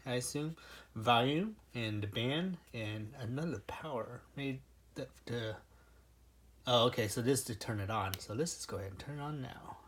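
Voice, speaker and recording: A product reviewer giving casual unboxing commentary, informal and thinking aloud. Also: medium pitch. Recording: clearly noisy home recording with clicks.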